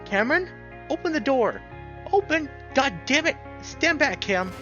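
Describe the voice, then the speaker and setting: A man's voice: very high voice, very animated, unhurried, lo-fi sound, over music, voice pushed up, full character voice. A man voicing a big anxious bear, a caring boyfriend, with a pleading, strained manner in a visual novel. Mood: desperate.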